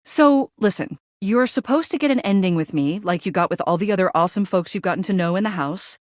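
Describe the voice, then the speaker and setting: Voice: mid-range voice, animated, a little fast, dry recording, studio quality, natural voice. A performer voicing an upbeat, chatty date companion in a video game, with a breezy, informal manner. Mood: playful, friendly. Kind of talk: video game character dialogue.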